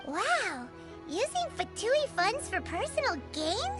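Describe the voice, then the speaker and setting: A woman's voice: slow, exaggerated voice. A woman voicing a tiny, chirpy and bossy fairy companion in a video game. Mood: teasing. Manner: squeaky, excitable and scolding. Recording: game audio with loud music.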